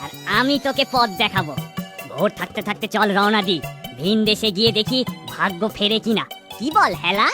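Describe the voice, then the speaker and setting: A woman's voice: medium pitch, expressive, normal speed, lightly acted. A woman voicing a young, touchy but kindly hunchbacked outcast in a children's folktale cartoon, with a plaintive manner. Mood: friendly. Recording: children's music under the voice.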